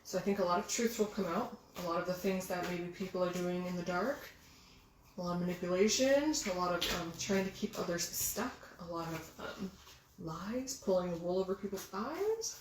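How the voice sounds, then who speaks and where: A woman giving a casual, excitable tarot reading, chatting and musing aloud with many fillers. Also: fairly low pitch, moderate pace. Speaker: tarot reader. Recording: roomy with faint background noise.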